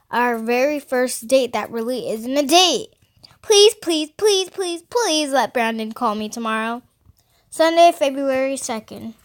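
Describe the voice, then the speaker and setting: A woman's voice: high-pitched, lightly acted. A woman voicing an anxious young teenage girl who reads her diary in a melodramatic, emphatic audiobook style. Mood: worried. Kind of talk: first-person audiobook diary narration.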